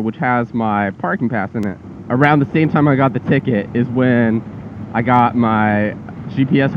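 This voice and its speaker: Young guy vlogging from a scooter, lots of ums, tinny phone-like helmet mic, faint road hiss. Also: mid-range voice. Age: young adult. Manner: relaxed, rambling, frequent fillers. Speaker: motovlogger. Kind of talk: casual vlog narration while riding. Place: on a scooter on a suburban road outdoors.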